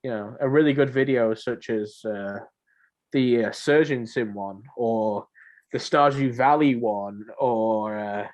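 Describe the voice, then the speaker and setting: A man's voice: mid-range voice, slow, a little mellow. A young man chatting casually as a podcast co-host, rambling and often repeating his phrases. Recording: dull lo-fi voice mic.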